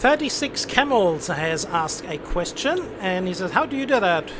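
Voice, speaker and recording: A man's voice: sits high, big pitch swings, moderate pace, noisy background. A man hosting a live game stream with casual, enthusiastic commentary, often repeating phrases for emphasis.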